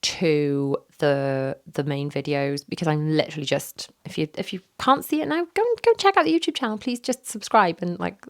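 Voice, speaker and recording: A hoarse woman in her thirties talks straight into a microphone in her home office, rambling candidly with plenty of fillers. Her voice sits low and rough with a gravelly fry, and she sounds worn out from being ill. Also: expressive, moderate pace, hardly any pauses. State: hoarse, recovering from illness. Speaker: podcast host. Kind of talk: casual solo podcast chat. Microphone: studio microphone.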